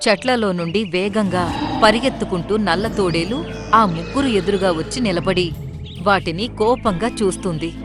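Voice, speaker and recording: A woman's voice: medium pitch, moderate pace, over music. A woman narrator reading a scripted children's story.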